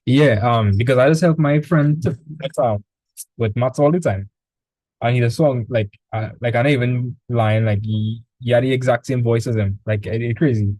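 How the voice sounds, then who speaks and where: Man, Trinidadian accent, math tutor, mellow tone, dry close sound, casual chatty delivery. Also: medium pitch, normal speed, no room sound, poor audio. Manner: relaxed, chatty, repeats words when starting. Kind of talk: casual online tutoring session. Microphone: video call.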